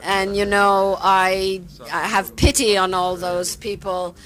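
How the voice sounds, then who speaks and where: Slow, halting woman interpreting a conference. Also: medium pitch, flat delivery, a bit bright, poor audio. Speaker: simultaneous interpreter.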